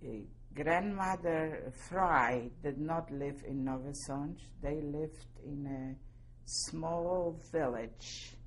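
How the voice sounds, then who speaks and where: A woman in her seventies from Poland, giving oral history testimony slowly and carefully, spelling out names. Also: low voice, slight background noise. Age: 70s. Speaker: interviewee.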